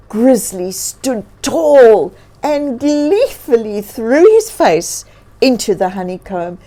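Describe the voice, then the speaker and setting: Female children's story reader: soft mellow timbre, animated intonation, encouraging, addresses the young listener directly. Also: mid-range voice. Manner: asks listeners questions, expressive, encouraging. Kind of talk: read-aloud storytelling for children.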